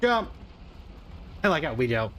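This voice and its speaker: A man's voice: a little high, big pitch swings, a little slow, fairly bright tone, slight background noise. A man giving casual, playful, joking reaction commentary as he streams a video game. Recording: game music behind. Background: game music.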